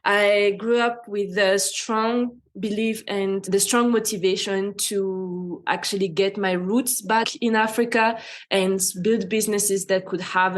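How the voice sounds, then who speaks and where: A woman from France speaking as an interview guest, explaining her work in conversational answers. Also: medium pitch. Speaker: interview guest, fintech founder and CEO.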